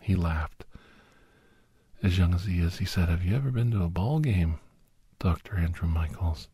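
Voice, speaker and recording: A man playing a weary, confiding doctor who hunts shapeshifters, telling his story in a hushed, halting, breathy ASMR style. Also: low-pitched, animated, full character voice. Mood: hushed, reminiscing. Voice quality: breathy, often whispered.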